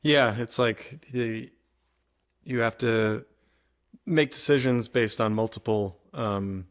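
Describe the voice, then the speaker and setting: A man's voice: mid-range voice, slow pace. A man hosting a podcast, asking loose, searching questions with fillers. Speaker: podcast host. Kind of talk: interviewing in a podcast.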